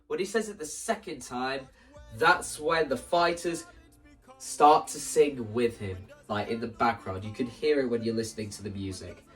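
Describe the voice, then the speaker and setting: A young man talking casually and explaining his creative ideas in an animated, theatrical way. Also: mid-range voice, normal speed, far from the mic, soft background music. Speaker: video creator presenting a stage play concept.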